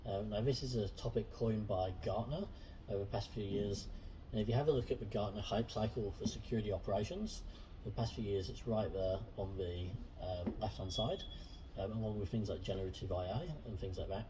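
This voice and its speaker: A man hosting a casual interview chat, relaxed and friendly with frequent fillers. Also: fairly low pitch, fairly quick, far from the mic, a little hiss or hum, poor audio. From Southern Hemisphere. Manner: relaxed, friendly, many ums. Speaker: host.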